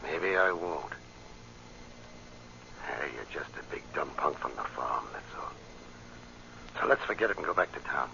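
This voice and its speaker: A man's voice: a bass voice, animated, unhurried, gravelly, pauses often, bright, forceful tone, heavily acted. An actor playing a smug, menacing racketeer boss in an old-time radio drama, talking in sneering tough-guy slang. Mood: threatening, scornful. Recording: dull, noisy old radio recording.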